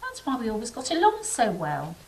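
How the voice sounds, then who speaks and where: An affectionate, starry-eyed angel , acted by a woman in an audio drama. Her voice sits on the high side and moves with lively expression, effusive and playful. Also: a little fast, a little hiss or hum. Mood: warm, encouraging. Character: romantic, optimistic female angel, lively and affectionate.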